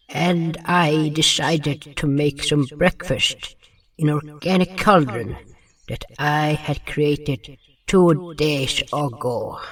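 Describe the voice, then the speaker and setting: A man's voice: fairly high pitch, pushed and bright, husky, distant mic. A man solemnly narrating a scripted first-person fantasy story in English. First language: not English. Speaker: narrator and writer of an audio drama.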